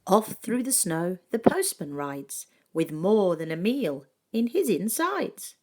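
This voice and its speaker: A woman reading a children's picture book aloud in an expressive way, with dramatic pauses and emphasis. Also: medium pitch. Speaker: storybook reader.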